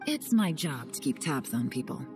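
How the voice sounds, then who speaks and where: A composed secret agent speaks in a low, dry, self-assured voice. She sounds unhurried and in control, with clear game music behind her. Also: big pitch swings. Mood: cool. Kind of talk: game cutscene voice acting.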